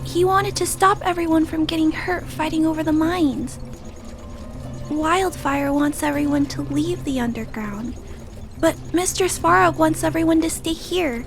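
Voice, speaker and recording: High-pitched, gentle little girl. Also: unhurried, over music. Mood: earnest, timid. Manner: soft, hesitant, polite, pleading. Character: gentle, earnest little girl raised by a robot. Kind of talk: scripted video game cutscene dialogue.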